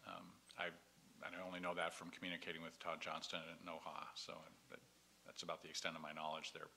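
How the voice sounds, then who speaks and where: Male city official, deep but very forceful, sharp tone, matter-of-fact in discussion. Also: completely flat delivery, quick pace, gravelly. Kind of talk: explanatory meeting discussion. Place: council meeting room. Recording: faint hum on meeting audio.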